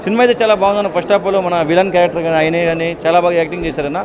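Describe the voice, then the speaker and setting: A man's voice: sits high, rapid-fire, noisy background. A man giving a casual public review to camera, returning to the same approving phrases again and again. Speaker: moviegoer giving a film review.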